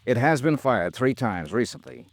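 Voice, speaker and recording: An actor playing an earnest, insistent American investigator in an old-time radio drama, speaking in urgent, clipped phrases. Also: male voice, medium pitch, expressive, normal speed, creaky, no echo. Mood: firm, urgent. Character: insistent, earnest male insurance investigator, gentle but hard-boiled.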